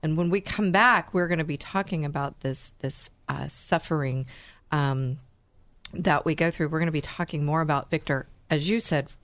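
A woman with a Texan accent hosting a radio talk show, chatty and quick to laugh. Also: low voice, no room sound. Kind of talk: casual radio chat.

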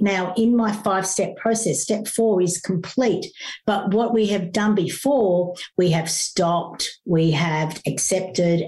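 A woman's voice: on the low side, darkish tone, poor audio. A middle-aged woman, a grief coach talking through her process in an interview in an earnest, teacherly way, with frequent fillers and restarts. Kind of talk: conversational interview.

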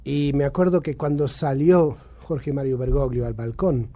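A man's voice: mid-range voice, moderate pace, dark tone. British man speaking Spanish with Argentine lilt. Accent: Argentine-tinged Spanish over a British base. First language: English. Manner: thoughtful, many restarts and tag questions. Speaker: journalist and author, interview guest. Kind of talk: conversational interview answers.

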